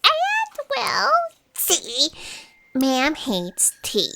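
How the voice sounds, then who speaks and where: A woman playing an excitable, defensive office underling, theatrical and evasive, in a comic sci-fi audio drama. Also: high voice, very slow, creaky, very noisy, heavily acted.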